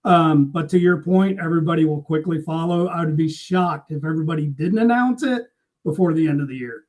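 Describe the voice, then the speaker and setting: A middle-aged man talking business casually as a podcast co-host. His voice is clearly high for a man. He comes across as agreeable, backing up the point with plain affirmations. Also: brisk. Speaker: podcast co-host, freight industry veteran.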